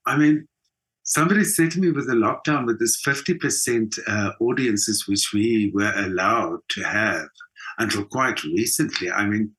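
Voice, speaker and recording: A man's voice: fairly low pitch, normal speed, a bit bright, poor audio. A middle-aged South African man talking conversationally as an interview guest, emphatic and full of anecdote. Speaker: guest, theatre performer.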